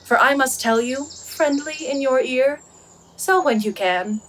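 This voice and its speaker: A young woman playing a bold, witty young heroine in a Shakespearean radio play, brisk and mocking. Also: high-pitched, a bit bright. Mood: scornful, mocking. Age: young adult. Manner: brisk, mocking, declamatory verse and prose.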